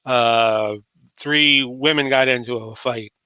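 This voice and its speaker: A man in his late forties from Florida hosts a solo talk show, rambling casually with plenty of fillers and false starts. His voice has a bright, forceful edge and sits at an ordinary pitch. The sound is dry and close, with almost no room around it. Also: steady tone, takes it slowly, clean tone, no echo. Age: 40s. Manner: rambling, frequent fillers and restarts. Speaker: podcast host.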